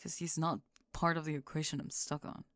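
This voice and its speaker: A woman voicing a guarded, dry-witted goth high school girl in an ASMR roleplay, terse, reluctant and deadpan. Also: a little fast, no room sound. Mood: reluctant, vulnerable. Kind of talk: ASMR roleplay audio drama.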